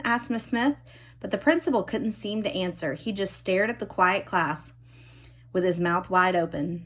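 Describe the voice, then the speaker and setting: A woman reading a children's storybook expressively, with dramatic emphasis and drawn-out key words. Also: on the low side, breathy edge. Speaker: storybook reader.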